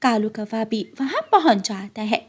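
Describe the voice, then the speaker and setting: A woman's voice: on the high side, lively intonation, moderate pace, slightly rough. A woman narrating a scripted children's story as the storyteller.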